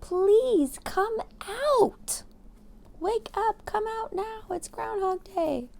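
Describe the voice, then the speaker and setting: Woman as shouting townsfolk, very high-pitched. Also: expressive, very slow, creaky, exaggerated voice. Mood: urging. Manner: shouting, stretched-out words. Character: excited crowd of townspeople shouting for groundhog. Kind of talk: children's storybook read-aloud.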